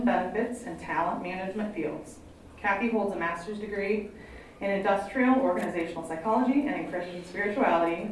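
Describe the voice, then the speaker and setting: A woman's voice: mid-range voice, distant mic, a little hiss or hum. Woman on a mic in a very echoey room. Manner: friendly, apologetic, reads prepared bios. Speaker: college counseling program director. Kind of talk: event introductions to an audience. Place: college event room. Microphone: handheld microphone.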